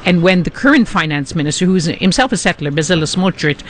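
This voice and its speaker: Guy correspondent, bit higher voice, talking through the news, lots of uhs and restarts. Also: animated, a little hiss or hum. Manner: fluent, measured, with frequent restarts. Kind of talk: live news analysis.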